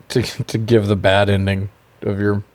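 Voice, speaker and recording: Low-voiced man in casual conversation. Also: level tone, unhurried, a little mellow, dry recording. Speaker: podcast co-host reviewing films. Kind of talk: casual film review chat.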